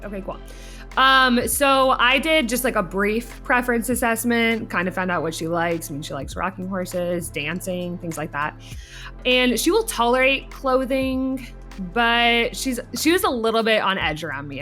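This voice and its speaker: A woman's voice: mid-range voice, bright, forceful tone. A woman talking casually as a clinician, giving informal, loosely worded explanations of an assessment. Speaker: behavior clinician reporting an assessment. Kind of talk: casual conversational case discussion.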